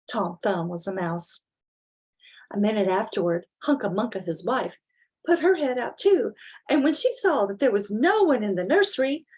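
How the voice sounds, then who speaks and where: A woman reading a children's storybook as a dramatic reading, with deliberate, theatrical emphasis. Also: a little high, darkish tone, husky. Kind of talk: expressive dramatic storybook reading with casual asides.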